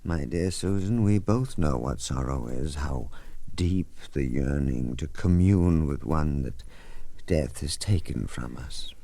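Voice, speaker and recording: An older man acting in a 1940s radio mystery as a wealthy, grieving old gentleman with courtly, theatrical phrasing. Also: very deep voice, animated, slow, creaky, no echo, heavily acted. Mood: mournful.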